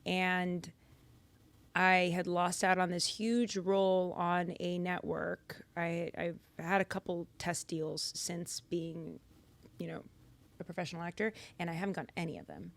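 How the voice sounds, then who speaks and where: A young woman from Massachusetts, a podcast guest telling her story in casual chat, animated and louder for emphasis, on a studio boom mic. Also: a little slow, creaky. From Massachusetts, United States. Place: podcast studio with purple lighting.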